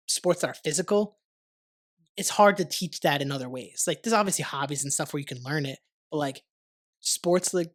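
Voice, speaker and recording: Casual rambling young man hosting. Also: a little high. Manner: rambling, heavy use of "like", swears casually. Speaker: podcast host. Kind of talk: casual conversational interview. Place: warm studio under lights.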